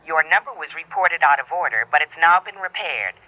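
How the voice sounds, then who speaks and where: A woman's voice: sits low, expressive, a little fast. Impersonal female phone operator, formal and clipped, old radio drama, tinny phone line. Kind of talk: 1960s radio drama acting.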